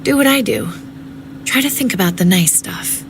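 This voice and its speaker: A woman's voice: medium pitch, very animated, moderate pace, slight background noise, low-quality recording. An actress playing a practical, nostalgic mother in a video game drama, speaking in a casual, understated manner. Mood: wistful, confiding. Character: practical, nostalgic mother surviving a zombie apocalypse.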